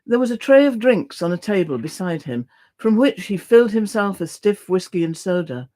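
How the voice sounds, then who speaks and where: A reader narrating a classic adventure novel aloud in an expressive storytelling style. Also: mid-range voice, normal speed. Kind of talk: expressive audiobook reading of a novel.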